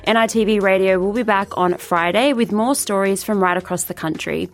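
A woman's voice: medium pitch, a little fast. A woman radio host giving a scripted, friendly sign-off.

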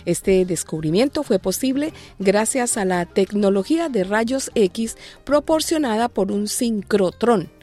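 A woman's voice: medium pitch, animated, unhurried, few pauses, warm tone, soft background music. A woman hosting a light news segment, reading scripted stories with playful, joking asides. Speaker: news show host. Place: lives in Australia.

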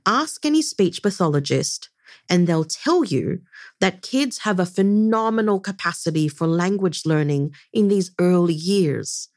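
A woman hosting and narrating a documentary-style podcast, telling the story in a measured, expressive way. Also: on the low side. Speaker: podcast host.

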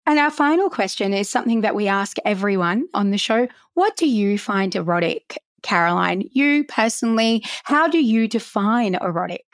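A woman hosting a podcast interview, asking quick, playful questions in a conversational way. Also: medium pitch, lively intonation, dry recording, clean studio sound. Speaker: podcast host.

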